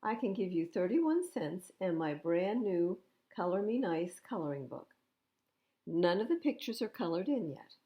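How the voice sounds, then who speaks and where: A woman's voice: on the low side, big pitch swings, unhurried, dark tone. A woman voicing a determined, insistent little girl in a storybook. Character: determined little girl Violet, persistent bargainer.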